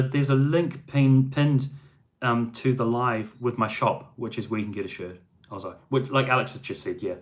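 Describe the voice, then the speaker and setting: A man from New Zealand hosting a music livestream, chatting casually and answering viewer questions with frequent ums and restarts. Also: on the low side.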